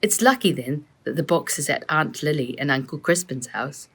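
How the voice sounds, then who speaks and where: A woman voicing a bright, inquisitive girl who eagerly works out a mystery in a children's storybook. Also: deep voice, lively intonation, normal speed, slightly rough, pitched below the performer's own voice, exaggerated voice. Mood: reasoning. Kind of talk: children's storybook read aloud.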